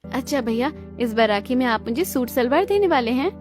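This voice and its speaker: A woman's voice: high voice, lively intonation, a little fast, music in the background. A woman voicing a sweet, devoted younger sister in a family cartoon, with an affectionate, pleading manner.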